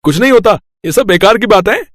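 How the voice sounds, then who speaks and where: A man doing a high, breezy brother-in-law. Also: animated, fast, a bit bright, no echo, clean studio sound. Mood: coaxing. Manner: eager, breezy. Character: chirpy, casual, coaxing brother-in-law.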